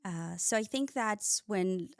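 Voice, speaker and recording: A woman's voice: mid-range voice, expressive, slow pace, dry recording, studio quality. Ecuador-raised woman telling her story. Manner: warm storytelling with frequent "uh" and small grammar slips. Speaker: guest, cosmetic scientist. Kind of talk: casual interview talk.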